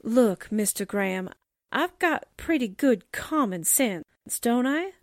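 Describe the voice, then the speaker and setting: A woman's voice: on the high side, lively intonation, takes it slowly, no room sound, clean studio sound. A woman playing a plucky, suspicious young newspaper secretary in a theatrical 1940s radio drama style. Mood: sure, conspiratorial.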